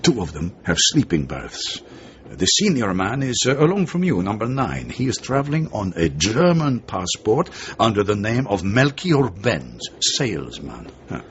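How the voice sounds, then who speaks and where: An actor playing a shrewd, genial middle-aged intelligence chief in a radio drama. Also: male voice, lowish voice, big pitch swings, measured pace. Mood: sly, confiding. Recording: dull lo-fi recording, background noise.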